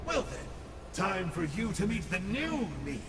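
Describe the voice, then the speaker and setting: Casting: high, gravelly voice for a glib Abyss schemer, distant hall echo in a noisy game capture. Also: male voice, very animated, unhurried, exaggerated voice. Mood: theatrical. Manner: glib, mocking, showy. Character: sly theatrical male Abyss scholar.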